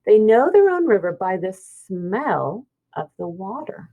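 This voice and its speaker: A woman's voice: mid-range voice, sing-song, unhurried, phone-quality sound. A woman teacher reading a children's science book aloud, explaining and pointing things out simply.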